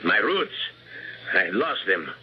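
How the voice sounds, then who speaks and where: Gluttonous, grandiose old dictator, wildly swooping. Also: male voice, on the low side, big pitch swings. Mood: grandly commanding. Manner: halting, repetitive, grandiose delivery. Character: gluttonous, self-pitying aging dictator of a Latin country. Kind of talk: old-time radio drama acting. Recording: muffled, noisy old radio broadcast.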